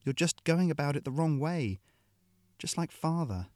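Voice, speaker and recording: A man's voice: fairly high pitch, lively intonation, moderate pace, warm tone, no echo, clean studio sound, voice pushed up, lightly acted. A man voicing a young, defiant princess who pleads desperately with her captor. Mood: pleading.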